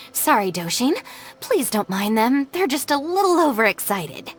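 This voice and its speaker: A woman voicing a sensible, composed young gang deputy in a game, polite and measured with dry incredulity. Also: a little high, big pitch swings, faint music behind. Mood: calm, polite. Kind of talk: anime-style game dialogue.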